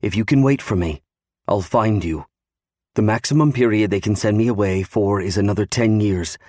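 A man's voice: on the low side, normal speed, a little mellow, no echo, clean studio sound, lightly acted. A man voicing a steadfast young navy serviceman, earnestly reassuring his wife in an audiobook. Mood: earnest reassurance. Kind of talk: audiobook character acting.

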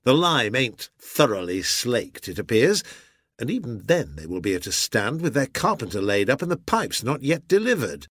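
Male narrator as hearty horse-mad captain, audiobook character, exuberant, animated pitch, nautical old-fashioned speech, dry sound. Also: unhurried, slightly rough, dry recording, exaggerated voice. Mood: slightly self-conscious.

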